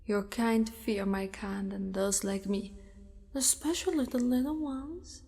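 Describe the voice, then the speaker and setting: A woman voicing a possessive, menacing yet doting spider-monster woman in an ASMR roleplay, often whispering, in a deliberate, coaxing manner. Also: mid-range voice, gravelly, mellow. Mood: tender, wistful. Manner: slow, deliberate, sinister coaxing. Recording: long reverberant cave-like echo.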